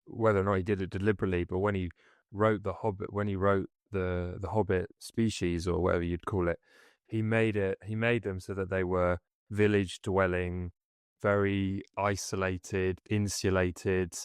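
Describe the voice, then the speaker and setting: A man hosting a casual podcast interview, chatty and encouraging toward his guest. His voice sits low and sounds smooth and clear. The recording is close and dry. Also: little pitch movement, moderate pace, dry recording. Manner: enthusiastic, affirming, chatty. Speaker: podcast host.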